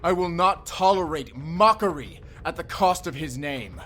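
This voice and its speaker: A man's voice: a little high, lively intonation, unhurried, fairly bright tone, a little hiss or hum. A man playing a proud, loyal human leader in a fantasy audio drama, speaking formally and firmly. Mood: firm, earnest. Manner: formal, firm. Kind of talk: fantasy audio roleplay acting.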